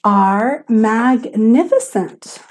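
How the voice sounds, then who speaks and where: A woman teacher reading a children's picture book aloud in a theatrical, expressive way with emphasis on key words. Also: on the high side, extremely slow.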